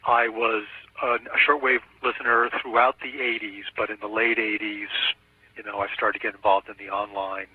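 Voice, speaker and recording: A man's voice: lowish voice, level tone, moderate pace, slightly rough. A middle-aged man being interviewed by telephone as a video editor and artist, explaining himself in a reflective, careful way. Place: on a telephone call. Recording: tinny phone line with room echo.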